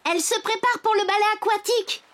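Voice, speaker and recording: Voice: very high-pitched, steady tone, fast talker, fairly bright tone, slight background noise. An actor voicing a cheerful, helpful little wooden toy boy in a French-dubbed children's cartoon, eager and earnest.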